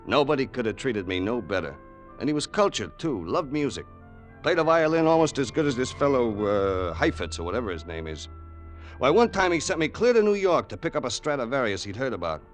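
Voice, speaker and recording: A man's voice: medium pitch, very animated, fairly quick, soft background music, close to an everyday voice. An actor playing a loyal, regretful henchman in an old radio crime drama, with an American accent and slangy tough-guy speech. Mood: remorseful, confiding. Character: loyal, remorseful racketeer's henchman, plain-spoken tough guy. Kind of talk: old-time radio drama acting and narration.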